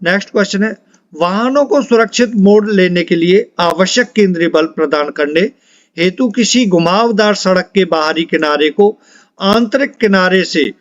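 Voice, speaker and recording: Male exam tutor, high pitch, instructional quiz read-out with repeated answers, dry close sound. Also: moderate pace, no echo, lo-fi sound. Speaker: exam coaching teacher. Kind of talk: reading out multiple-choice quiz questions and answers to students.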